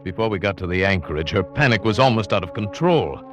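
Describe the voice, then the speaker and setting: A man's voice: deep voice, animated, quick pace. An actor playing a guarded, solitary yachtsman who narrates his adventure in an old-time radio drama, firm and protective. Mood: tense narration. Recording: old radio recording, music underneath.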